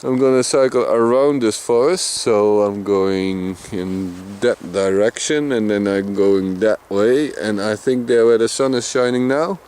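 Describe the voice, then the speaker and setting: A man from Limburg with a Dutch accent and a deep voice, chatting casually to his camera outdoors while out on a bicycle trip, sometimes mumbling a little. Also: measured pace, few pauses, a little mellow, clear, resonant tone. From Limburg, Netherlands. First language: Dutch Limburg dialect. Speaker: vlogger. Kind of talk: casual outdoor vlog narration. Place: outdoors in flat open countryside.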